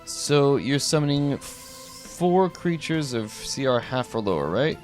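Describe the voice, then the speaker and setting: Animated male game master over music. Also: medium pitch, a little slow. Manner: hums and mutters while working out rules. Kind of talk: live tabletop role-playing game narration. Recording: music playing behind the voice.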